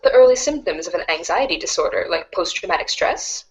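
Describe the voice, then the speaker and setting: A woman voicing a composed, analytical, wry teenage girl in a read-along webcomic, articulate and measured. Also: fairly low pitch, animated, breathy edge, voice pushed down, poor audio. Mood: analytical, brisk.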